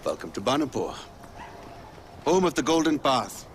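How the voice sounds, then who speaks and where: An actor playing an earnest, measured rebel commander in a video game cutscene. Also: male voice, medium pitch, very animated, natural voice. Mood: warm, grave. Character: earnest rebel commander, welcoming but harried by war. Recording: noisy game soundtrack with outdoor ambience.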